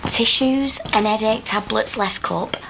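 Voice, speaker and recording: An actress playing a fussy, practical, good-humoured miner's wife with a Northern English accent in a radio drama. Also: female voice, a little high, unhurried, gravelly, background noise. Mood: brisk, cheeky. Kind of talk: naturalistic radio drama acting.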